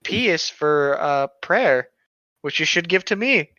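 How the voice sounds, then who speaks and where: A man's voice: fairly high pitch, animated, a little slow, bright, forceful tone, no echo. A man in casual, wisecracking banter as a podcast co-host, on a slightly dull microphone. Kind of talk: casual chat, joking banter.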